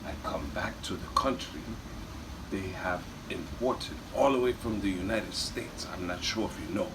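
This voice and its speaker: A man's voice: low voice, normal speed, noisy background, lightly acted. A man playing a boastful, melodramatic Bulgarian war veteran telling rambling tales in broken English. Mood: boastful, dramatic. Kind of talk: improvised comic in-character storytelling.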